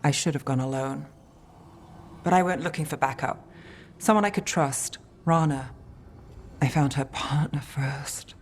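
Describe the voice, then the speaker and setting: An actress playing a jaded, hard-bitten investigator in a fantasy video game, speaking in a terse, weary, guarded way. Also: female voice, deep voice, a little hiss or hum. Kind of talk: dramatic video game dialogue.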